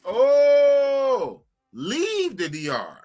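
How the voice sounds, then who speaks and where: Animated man in his fifties hosting a podcast. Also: extremely high pitch, drawn-out words, faint music behind. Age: 50s. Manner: animated, talks to chat, repeats phrases. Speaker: podcast host. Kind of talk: casual live-stream chat.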